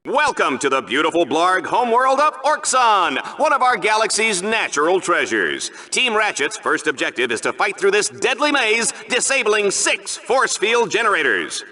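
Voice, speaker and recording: A man's voice: high voice, big pitch swings, normal speed, hardly any pauses, pushed and bright, full character voice. A man voicing a smug, cowardly show-off arena commentator in a video game, theatrical and sarcastic in an over-the-top game show style. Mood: showy, smug. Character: smug, hammy male sci-fi arena sportscaster, cowardly show-off. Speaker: sports commentator.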